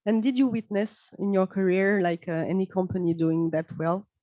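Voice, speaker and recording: A woman with a mellow, warm voice puts questions to a guest at a panel. She hesitates and fills pauses as she goes. The sound is dry and dull. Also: mid-range voice, fairly even intonation, dry recording. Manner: hesitant, with many fillers. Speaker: interviewer.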